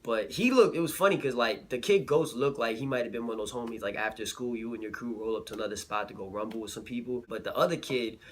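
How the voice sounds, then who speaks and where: A slightly sick man recapping a battle rap event in a relaxed vlog, with lots of fillers and slang. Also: mid-range voice, fast talker, hardly any pauses. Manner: laid-back, filler-heavy slang. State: a little sick.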